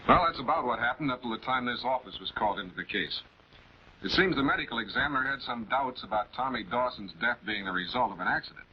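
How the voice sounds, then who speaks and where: A man's voice: medium pitch, animated, natural voice. A man playing a composed, affable public prosecutor in a late-1940s television crime drama, speaking in a polite, conversational way. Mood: genial, explaining. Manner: conversational, polite, measured questioning. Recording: dull, noisy 1940s film soundtrack.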